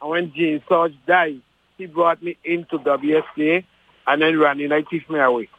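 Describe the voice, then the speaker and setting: A man's voice: on the high side, flat delivery, moderate pace, no echo, phone-quality sound. A man recalling his radio days in a chatty way, with lots of yeahs between stories. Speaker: guest, former radio broadcaster. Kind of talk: casual reminiscing chat.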